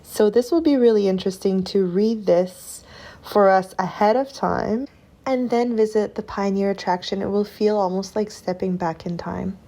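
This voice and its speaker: A woman in her thirties, a homeschooling mother, describing a storybook in a gentle, enthusiastic, unhurried way. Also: medium pitch. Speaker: homeschooling mother sharing a book. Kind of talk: casual talk to camera.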